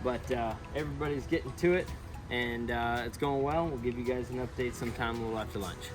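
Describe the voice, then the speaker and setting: A man's voice: mid-range voice, brisk, loud music behind the voice. A man giving an upbeat, informal walkthrough as a builder hosting a project video outdoors. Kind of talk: casual on-site project walkthrough. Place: outdoors at a backyard pond construction site. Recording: noisy outdoor site, vehicle and ambient noise.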